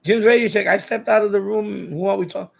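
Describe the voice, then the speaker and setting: A man's voice: high voice, fairly even intonation, quick pace, gravelly. A man hosting a casual live stream, talking informally to his chat in a rambling way and often repeating his phrases. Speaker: live stream host of a radio hobby channel.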